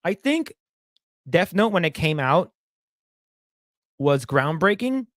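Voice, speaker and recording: A man's voice: fairly high pitch, sing-song, slow pace, a bit bright, no echo, studio quality. A young man co-hosting a podcast, chatting in a rambling, blunt way with casual swearing. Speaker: podcast co-host. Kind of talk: casual chat.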